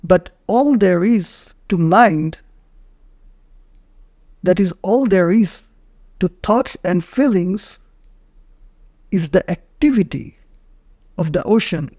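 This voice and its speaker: A man narrating a guided meditation in short, measured phrases with frequent pauses. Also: high voice, expressive, darkish tone, no room sound, studio quality.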